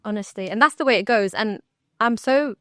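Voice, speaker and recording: A woman's voice: medium pitch, brisk, no echo, studio quality. A young woman hosting a women's health podcast in a bubbly, chatty interviewing style. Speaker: podcast host. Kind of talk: casual podcast chat.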